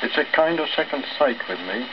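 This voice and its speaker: A man's voice: fairly high pitch, expressive, a little fast, natural voice. Crackle and a muffled old-record sound surround an English ship's cook as a male storyteller plays him. He is a self-important storybook boaster, airy and mock-sorrowful about his supposed gift. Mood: boastful, self-pitying. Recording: old crackly record, dull, heavy surface noise.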